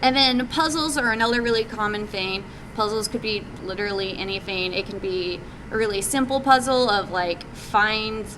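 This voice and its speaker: A woman giving an informal live presentation as an indie game developer, in a hesitant, conversational way with casual phrasing. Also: mid-range voice. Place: live group meeting, presenting to attendees. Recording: live meetup recording with background noise.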